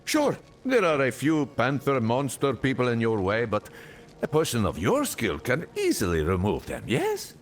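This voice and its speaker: A man's voice: mid-range voice, huge pitch swings, moderate pace. An actor playing a self-serving desert rogue in a video game, with wheedling, theatrical, salesman-like patter. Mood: glib, persuasive. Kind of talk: video game character acting.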